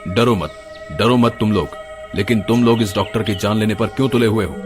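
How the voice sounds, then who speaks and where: A man playing the stern, righteous, caring ghost of a dead doctor in an animated moral story, speaking in an earnest, scolding way. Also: lowish voice, a little fast, natural voice. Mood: reproachful. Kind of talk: animated Hindi moral horror story acting. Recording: music behind the voice.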